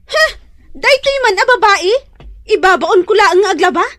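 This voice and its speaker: A woman's voice: extremely high pitch, expressive, normal speed, fairly bright tone, no room sound. A woman with an Ilocano accent acting in a radio drama as a bossy, spiteful young woman, haughty and sneering. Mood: haughty, demanding. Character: bossy, spiteful young woman ordering her in-law around.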